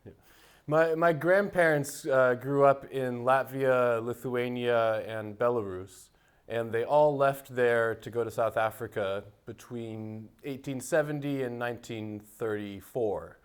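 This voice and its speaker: A man from the United States answers interview questions, thinking aloud with frequent ums and restarts. His voice is smooth and clear, sitting at a middle pitch. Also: measured pace. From United States (Seattle). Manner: thoughtful, many fillers and restarts. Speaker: interviewee, musician.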